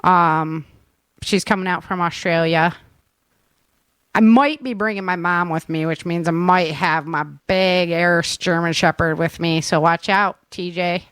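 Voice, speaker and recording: A woman's voice: lowish voice, steady tone, a little slow, a bit bright, smooth, no room sound. A woman hosting a casual, chatty conversation. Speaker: podcast host, author. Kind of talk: casual chat.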